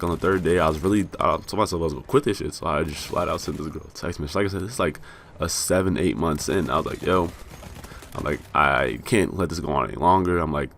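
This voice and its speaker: Young American man vlogger, raspy, low-voiced, rambling profane storyteller. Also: a bit bright, slight background noise. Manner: rambling, profane, self-mocking with laughs. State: raspy from being high. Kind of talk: casual storytelling.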